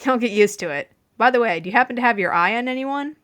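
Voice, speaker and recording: A sly male detective voiced by a woman reader in an audio fan story. He sounds amused and knowing, teasing in a highish, very animated voice. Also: fast talker. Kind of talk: fan fiction audiobook character voice.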